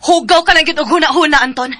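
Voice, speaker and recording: A woman's voice: sits high, quick pace, pushed and bright. An actress playing a hurt but firm young woman spurning her lover in a radio drama, reproachful and indignant.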